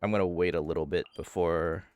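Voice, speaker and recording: A man with a deep voice gives casual, live commentary as a game streamer. He talks through his plans and his play in an offhand way. Faint game music plays behind him. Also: fairly even intonation, fairly quick, a little mellow.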